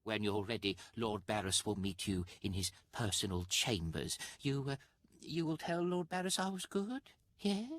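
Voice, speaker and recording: An actor playing a timid, fawning slave in a video game, servile and halting, eager to please. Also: male voice, lowish voice, animated, fairly bright tone, no echo. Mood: anxious eagerness.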